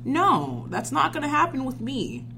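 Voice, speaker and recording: A woman's voice: high-pitched, wildly swooping pitch, a little slow, sharp tone. A young woman hosting a casual conversation, telling stories in an emphatic, informal way. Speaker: podcast host. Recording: noisy recording with clear hum.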